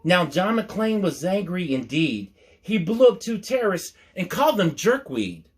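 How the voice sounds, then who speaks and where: A man's voice: high voice, very animated, measured pace. A man reading a rhyming story aloud as a storyteller, expressively, with folksy, playful asides to his listeners.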